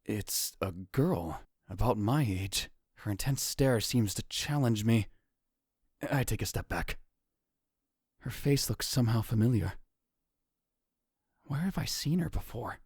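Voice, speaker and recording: Man voicing theatrical young anime mad scientist, swooping pitch. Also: animated, a little slow, pauses often, darkish tone, husky, no room sound, studio quality, exaggerated voice. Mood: brooding. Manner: dramatic, grandiose outbursts. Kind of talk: anime visual novel fan dub, first-person narration.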